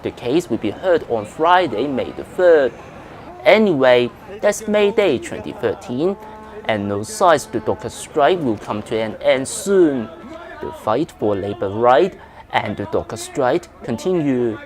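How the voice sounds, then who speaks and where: A man's voice: medium pitch, sing-song, a little slow. A man reading a scripted news report as a correspondent.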